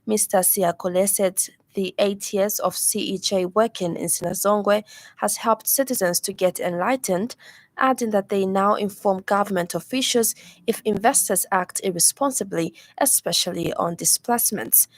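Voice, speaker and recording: A woman's voice: mid-range voice, no room sound. Woman reading a radio news bulletin. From Zambia. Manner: measured formal delivery. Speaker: news anchor. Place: radio studio.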